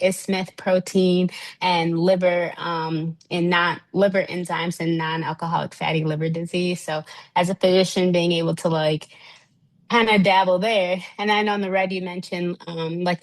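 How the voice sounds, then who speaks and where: A woman's voice: lowish voice, no room sound, low-quality recording. A woman speaking as a physician on a panel, chatty and rambling, with many fillers. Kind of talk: casual panel talk over a video call. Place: remote spot on a trip.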